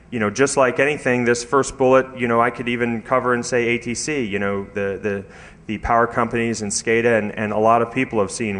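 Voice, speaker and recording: A man giving an informal technical conference talk with slides, speaking haltingly with frequent hesitations and repeated words. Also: on the low side. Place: large indoor conference hall. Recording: echoey hall with long reverb.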